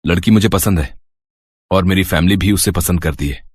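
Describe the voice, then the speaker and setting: A man's voice: low voice, moderate pace, breathy edge, no echo, clean studio sound. A man playing an earnest young suitor in a moral story, speaking plainly. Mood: matter-of-fact.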